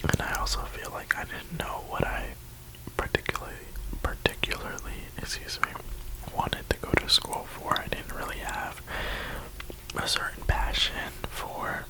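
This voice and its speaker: Young man, early 20s, from the US East Coast, soft rambling whisper, close-miked for ASMR. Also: measured pace, low-quality recording. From New York and Florida, USA. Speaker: ASMR creator.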